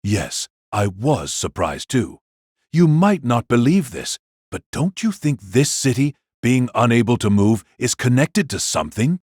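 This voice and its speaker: A man's voice: mid-range voice, sing-song, unhurried, darkish tone, husky, dry recording, pitched below the performer's own voice. Composed male warrior, dry sound, voiced by a narrator. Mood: composed, probing. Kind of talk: audio drama character dialogue.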